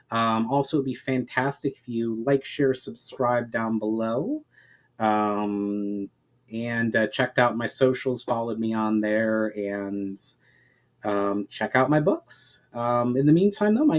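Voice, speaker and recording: Guy host talking casual to viewers, medium pitch, unscripted outro style. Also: a little mellow. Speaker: host and author.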